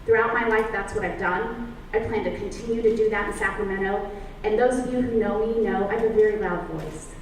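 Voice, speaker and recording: A woman's voice: mid-range voice, a little fast, a little hiss or hum. A woman from Southern California giving a fluent, confident campaign speech at a public forum. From San Diego County, California. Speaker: political candidate. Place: public library forum room. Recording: echoey room, distant mic.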